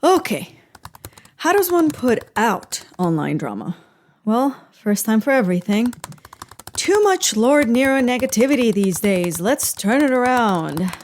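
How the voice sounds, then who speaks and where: A woman's voice: a little high, unhurried, a little hiss or hum. A woman playing a sarcastic, mischievous hacker agent with a snarky manner in a comedy audio drama. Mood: frustrated, sarcastic. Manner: snarky, deadpan quips.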